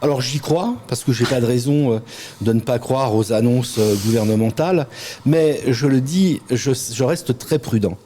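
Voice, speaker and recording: Mellow-voiced man, halting political interviewee. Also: mid-range voice, slight background noise. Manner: measured, hesitant phrasing with frequent pauses. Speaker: local elected official, mayor, interview guest. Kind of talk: interview answers, political talk.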